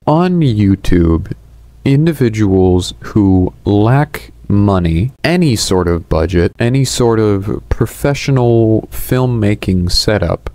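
A man's voice: on the low side, dark tone, dry recording. A man in his twenties narrating a video essay in a conversational, explanatory way, recorded on a headset mic. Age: 20s. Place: small indoor room. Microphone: headset boom mic.

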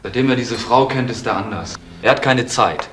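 A man's voice: medium pitch, moderate pace, a bit bright, a little hiss or hum, lightly acted. An actor playing an earnest, insistent young man obsessed with his missing uncle in a German crime radio play. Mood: brooding.

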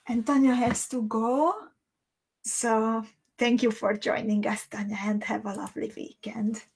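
A woman in her thirties with a Hungarian accent, a native Hungarian speaker, chatting casually and haltingly as she hosts a livestream. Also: on the high side, little pitch movement, darkish tone, husky. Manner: halting, thinking aloud. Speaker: host of a live beading tutorial. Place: small indoor craft room.

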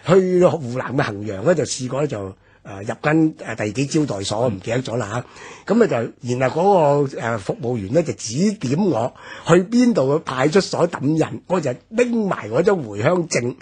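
A man's voice: medium pitch, sing-song, normal speed, few pauses, a little mellow, slightly rough. A man in his sixties with a Hong Kong Cantonese accent, recounting memories and history in a reflective radio talk. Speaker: radio commentator.

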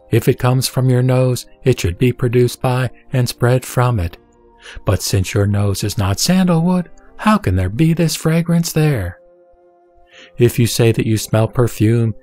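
A man reading Buddhist scripture from a script in a measured, didactic manner. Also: on the low side, darkish tone. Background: background music.

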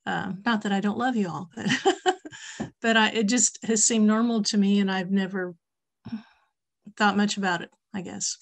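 A woman's voice: medium pitch, fairly even intonation, normal speed, gravelly, pauses often. A middle-aged woman on a video call, speaking casually as a panelist, chatty and laughing easily. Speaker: panelist, bass player. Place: home office. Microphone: webcam on a video call.

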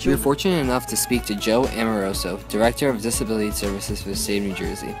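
A man's voice: lowish voice, fast. A young man with an American accent reads a scripted documentary narration as a student narrator. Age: young adult. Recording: voice-over with music bed. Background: music.

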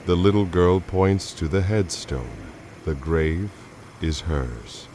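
A man's voice: sits low, expressive, slow pace, warm tone, a little hiss or hum. A man narrating a radio play in a dramatic, scripted style.

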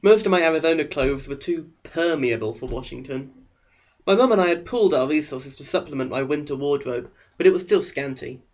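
A man's voice: a little high, expressive, fairly quick. A man narrating an audiobook in a sarcastic, melodramatic way. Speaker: audiobook reader. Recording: dull, lo-fi sound.

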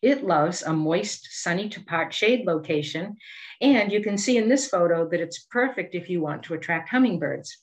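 A middle-aged woman with a Boston accent gives a scripted educational presentation over a video call, measured and articulate. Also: fairly low pitch, animated. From Boston area, United States. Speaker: master gardener giving a presentation.

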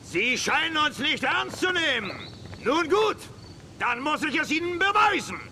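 A man's voice: extremely high pitch, sing-song, measured pace, sharp tone, slight background noise, exaggerated voice. A man playing a resolute, commanding lawman in a western children's audio drama.

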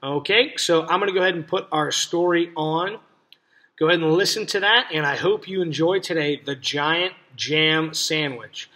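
Higher-voiced young American man, teacherly. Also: normal speed, pushed and bright. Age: young adult. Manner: questioning, simple explanatory way of talking. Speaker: read-aloud teacher and host. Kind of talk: casual talk to young children about a story. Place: small cluttered room indoors.

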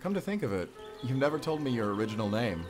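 A man acting a gentle, affectionate father and partner in an intimate audio roleplay, speaking softly and hesitantly. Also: medium pitch, very animated, quick pace, over music, close to an everyday voice. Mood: fond, relaxed. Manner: soft, tender, hesitant.

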